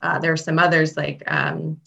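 A woman from Chicago talks as an interview guest, friendly and easygoing, with frequent ums and little laughs. Her voice sits low for a woman. The recording sounds dull and lo-fi. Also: level tone, moderate pace, vocal fry, pushed and bright. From Chicago, Illinois. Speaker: guest, state public health veterinarian. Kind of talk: interview answers, casual.

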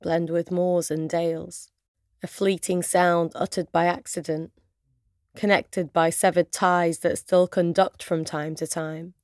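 A woman with a British accent and Arabic as her first language, reciting spoken word poetry in measured, deliberate phrases with pauses. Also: fairly low pitch, little pitch movement, darkish tone, no room sound, studio quality.

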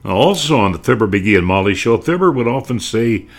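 Older male presenter: lowish pitch, very animated intonation, nostalgic, theatrical emphasis. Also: fairly quick. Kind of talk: nostalgic narrated talk on old radio.

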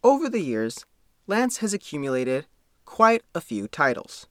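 A man's voice: on the high side, very animated, unhurried, dry recording, clean studio sound. A man reading a scripted feature story as a reporter. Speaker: reporter and narrator.

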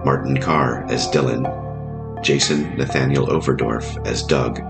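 A man's voice: very deep voice, steady tone, a little slow, vocal fry, loud music behind the voice. A man reading scripted end credits as an announcer for an audio drama.

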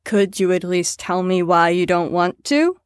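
A woman's voice: on the low side, animated, a little slow, clean tone, dry recording. A woman in an audio drama playing a firm carer on a patient ward, with a dry, exasperated manner.

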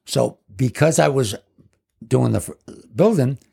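A man's voice: mid-range voice, animated, unhurried, vocal fry, a little mellow, breathy edge. A man in his seventies telling rambling stories about his past as a guest in casual chat. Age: 70s. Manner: rambling, leans on "basically". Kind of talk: casual storytelling.

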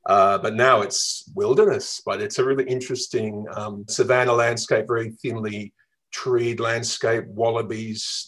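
Thoughtful Australian travel writer, lowish voice. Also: male voice, poor audio. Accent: Melbourne, Australian. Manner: reflective, lively storytelling with fillers. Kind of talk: radio interview.

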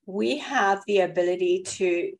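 A woman's voice: mid-range voice, measured pace. South african woman cohosting a podcast, chatty and emphatic. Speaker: podcast co-host. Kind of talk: casual chat.

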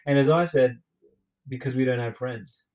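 A man's voice: mid-range voice, moderate pace, a little mellow. An Australian man co-hosting a casual podcast over a remote call, joking and self-deprecating. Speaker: podcast co-host.

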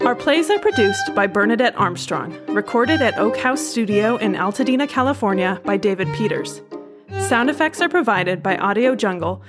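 A woman's voice: mid-range voice, lively intonation, moderate pace. A woman reading scripted podcast credits as an announcer. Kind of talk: scripted narration. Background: music with fiddle.

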